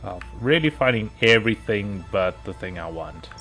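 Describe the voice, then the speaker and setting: A hoarse man streaming a video game, rambling to himself in casual commentary as he plays. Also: on the low side, animated, moderate pace, fairly bright tone, faint music behind. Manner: rambling, talking to himself while playing.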